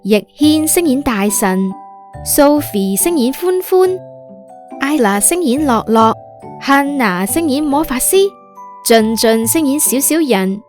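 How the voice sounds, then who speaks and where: A woman's voice: on the high side, a little mellow. A woman with a Hong Kong Cantonese accent narrating a scripted story for children as a podcast host.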